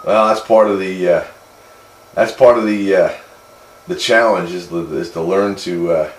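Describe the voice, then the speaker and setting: An American man in easygoing, slightly halting casual conversation as a CB radio operator. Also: low voice, fairly even intonation. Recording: roomy, noisy CB radio audio.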